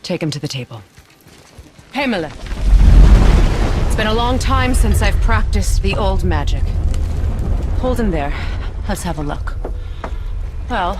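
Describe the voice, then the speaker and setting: A woman's voice: on the low side, animated, unhurried, long pauses, over music. An actress playing a proud, protective witch goddess in a video game, speaking with firm, dry, weary authority. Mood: focused, commanding. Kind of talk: cinematic video game acting.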